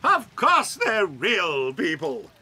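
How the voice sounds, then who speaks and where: An actor playing an eccentric, gleeful chocolate factory owner who giggles and talks in a theatrical, whimsical way. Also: male voice, sits high, huge pitch swings, slow, heavy background noise, heavily acted. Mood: breezy. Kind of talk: audio drama acting.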